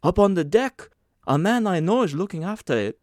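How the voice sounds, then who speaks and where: A man's voice: high-pitched, big pitch swings, moderate pace, no room sound, studio quality, voice pushed up. A man voicing a naive, anxious, polite young male emigrant with an earnest, eager manner in an audiobook. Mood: flustered, worried. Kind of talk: audiobook character acting.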